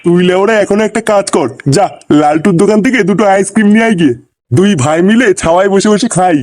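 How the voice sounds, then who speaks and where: A man's voice: high-pitched, fast, a little mellow, natural voice. A man voicing a lazy, foul-mouthed, bossy loafer in a comedy cartoon, talking in crude slang. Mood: idle, bossy. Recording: clearly noisy background.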